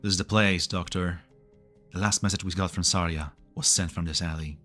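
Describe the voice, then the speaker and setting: A man's voice: deep voice, fairly even intonation, fairly quick, a bit bright, faint music behind. A man playing an earnest, analytical tech specialist in a game fan dub, protective and precise as he explains. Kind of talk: scripted anime game dub acting.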